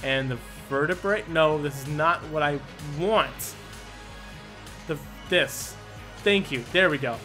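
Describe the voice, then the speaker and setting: A man giving let's play commentary, thinking aloud, reading item text and breaking into quick excited exclamations. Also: on the high side. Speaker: gaming channel host. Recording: game music playing underneath. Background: game music.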